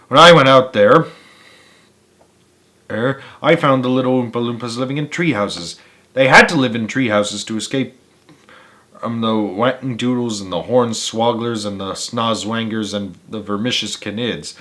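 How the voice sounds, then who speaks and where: A man voicing an excitable, boastful, eccentric factory owner in a storybook, showy and gushing as he exclaims. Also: mid-range voice, close to an everyday voice. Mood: dramatic, emphatic. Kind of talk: storybook character voice.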